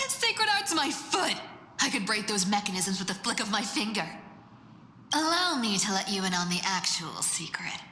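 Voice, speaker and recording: A woman's voice: medium pitch, pushed and bright, slight background noise. An actress playing a teasing female trickster demigod in a game, with a sly, brash, playful way of talking. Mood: scoffing, amused. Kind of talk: dramatic game voice acting. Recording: echoey reverberant hall, music behind.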